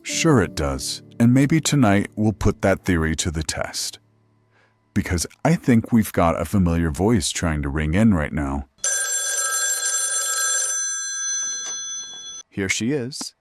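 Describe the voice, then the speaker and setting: Actor as a deep-voiced, wry radio host. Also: male voice, a little mellow, slight background noise. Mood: sardonic, then showman-like. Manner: sardonic, teasing, folksy. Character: wry late-night radio host, skeptical of the skeptic. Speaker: late-night radio host. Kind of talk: radio call-in show acting.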